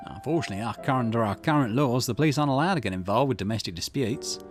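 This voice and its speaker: A man reads a visual novel's dialogue aloud as a gaming host, at an ordinary middle pitch. His delivery is expressive, with small stumbles now and then, and faint music plays behind him. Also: racing. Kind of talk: reading game dialogue aloud.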